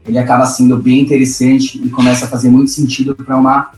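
A man's voice: mid-range voice, completely flat delivery, fast talker, darkish tone, soft background music. A man as a podcast guest, giving confident, thoughtful opinions. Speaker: podcast guest, digital specialist. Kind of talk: casual podcast chat. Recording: roomy echo.

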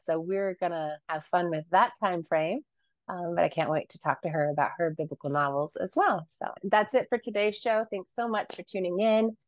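A woman co-hosting a book podcast, wrapping up the show with a steady scripted-sounding sign-off. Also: fairly low pitch, no room sound. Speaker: podcast co-host. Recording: dry, dull lo-fi mic.

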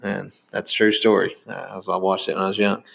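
A man's voice: sits low, little pitch movement, fast talker, vocal fry. A man in his thirties from Indiana with a Southern accent, hosting a podcast in casual, rambling chat. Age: 30s. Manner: rambling, many fillers and restarts. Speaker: podcast host.

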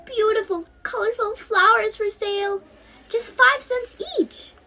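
A woman's voice: very high voice, steady tone, a little slow, bright, forceful tone, pitched above the performer's own voice, exaggerated voice. A teenage young woman voicing a poor, plaintive young flower seller in a storybook reading. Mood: calling out. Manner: plaintive, crying out. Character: poor, hungry, sorrowful young flower-selling girl.

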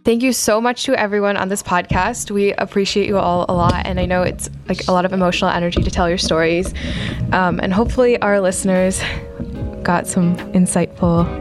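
A woman's voice: fairly low pitch, steady tone, brisk. A woman hosting a podcast, giving upbeat closing thanks to the guests. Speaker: podcast host. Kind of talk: closing remarks. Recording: music under voice.

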